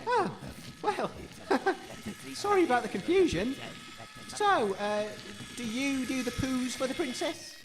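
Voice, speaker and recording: An actor playing an eager, bumbling, naive radio interviewer in a comic audio drama, excitable and awkward. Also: high-pitched, slow pace, poor audio. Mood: sheepish.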